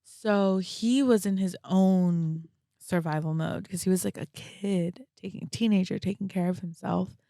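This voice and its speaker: A young woman talking reflectively and casually as a podcast guest, halting often and peppering her speech with "like". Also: fairly low pitch, gravelly, dark tone, no room sound, clean studio sound. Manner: halting, frequent "like" as verbal filler.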